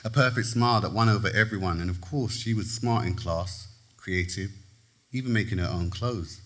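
A man reading aloud from his own short story to a live audience on a theatre stage, measured and trailing low at the ends of phrases. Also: fairly bright tone. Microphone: lectern mic, far camera.

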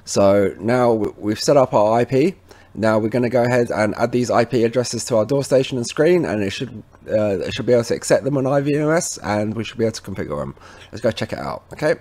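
A man presenting an informal, instructional software walkthrough, talking casually to viewers as he goes. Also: medium pitch, lively intonation, quick pace. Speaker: tech tutorial presenter. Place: indoors at a computer, voice over screen recording.

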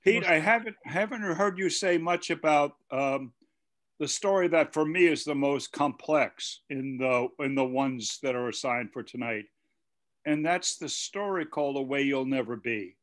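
A man's voice: medium pitch, unhurried, fairly bright tone. A man putting a question in a group discussion, hesitant and repeating words, on a video call. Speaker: participant asking a question. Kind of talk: conversational questioning. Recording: dull video call audio.

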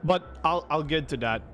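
A young man, a streamer, telling stories casually in a rambling, halting, conversational way into a close desk microphone. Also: fairly high pitch, a little hiss or hum. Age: young adult. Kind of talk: casual livestream storytelling.

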